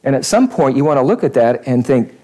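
A man's voice: medium pitch, very animated, fairly quick, mellow. A male pastor giving a conversational sermon in church, repeating phrases for emphasis.

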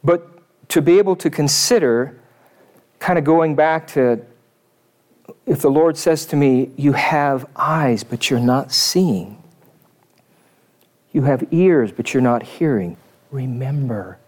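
A man preaching a sermon in a thoughtful, measured way, pausing to read quotations aloud. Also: mid-range voice, darkish tone.

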